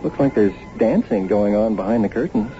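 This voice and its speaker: An actor playing an easygoing, tired young working husband in a naturalistic radio drama, casual and low-key. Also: male voice, sing-song, normal speed, music in the background. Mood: tired, relaxed. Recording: muffled, faintly noisy old radio broadcast.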